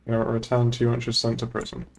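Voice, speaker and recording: A man's voice: medium pitch, monotone, quick pace, vocal fry. A young man in casual, blunt game-stream chat, playing a police officer. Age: young adult.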